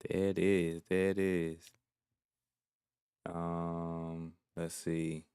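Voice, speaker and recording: Deep, smooth-voiced man hosting a livestream. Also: level tone, very slow, darkish tone, no room sound, studio quality. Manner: laid-back, laughs often, reads chat aloud. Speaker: music producer hosting a livestream. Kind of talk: casual livestream chat.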